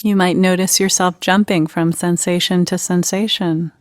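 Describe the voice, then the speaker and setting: A woman leading a guided meditation in an unhurried, gentle, instructional manner with pauses between phrases. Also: fairly low pitch, fairly even intonation, no echo, studio quality.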